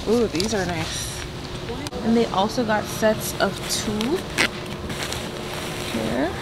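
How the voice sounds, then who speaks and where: Chatty woman vlogging in a noisy store. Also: fairly high pitch. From Canada. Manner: chatty, exclaiming over items. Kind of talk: casual shopping vlog. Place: large home goods store, indoors. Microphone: handheld camera.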